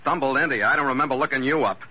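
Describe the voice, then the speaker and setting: An actor playing a tough, wisecracking ship's captain with an American accent in a hard-boiled old radio adventure, sardonic and slangy. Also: male voice, medium pitch, very fast, slight background noise, close to an everyday voice. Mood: testy. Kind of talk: hard-boiled 1940s-50s radio acting and narration. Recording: dull vintage radio recording.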